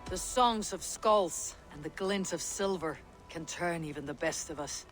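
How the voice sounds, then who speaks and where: A man's voice: fairly high pitch, a little slow, fairly bright tone, faint music behind, poor audio. An actor playing a stern, blunt male Viking warrior in a game cutscene. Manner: blunt, disapproving. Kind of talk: video game cutscene acting.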